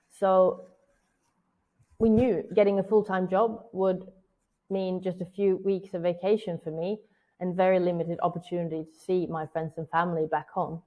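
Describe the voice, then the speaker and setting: A woman's voice: on the low side, darkish tone. A woman in her thirties from Sweden with a Swedish accent, giving an earnest, scripted webinar talk as a virtual event strategist. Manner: earnest, personal storytelling.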